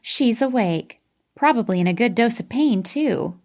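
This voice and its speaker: A woman voicing a cheerful, plump female nurse who is loud, peppy and sweet. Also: on the high side, expressive, measured pace.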